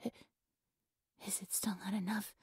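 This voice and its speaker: A woman playing an obsessive, devoted female cult leader in a roleplay audio drama, with a breathy, reverent, theatrical delivery. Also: mid-range voice, flat delivery, moderate pace, dry recording, clean studio sound. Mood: anxious. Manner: breathy, reverent, theatrical incantation with nervous stammers.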